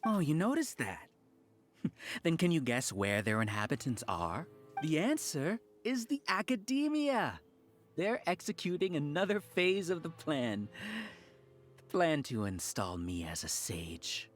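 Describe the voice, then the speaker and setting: An actor playing a smug, grandiose scholar villain who leads a cult-like hive, theatrical and condescending in a video game. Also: male voice, a little high, very animated, unhurried, faint music behind. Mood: grandiose, bitter.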